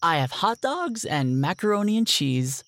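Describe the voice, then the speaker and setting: A man's voice: on the high side, big pitch swings, normal speed, a bit bright. A man playing a naive, clueless and polite brother in an audio drama, earnest, hesitant and easily flustered. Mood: unsure, sincere. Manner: hesitant, earnest, literal-minded.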